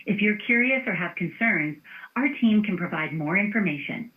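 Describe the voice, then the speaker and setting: A woman's voice: medium pitch, animated, normal speed. Tinny phone audio, female automated receptionist, polite, formulaic, scripted customer service.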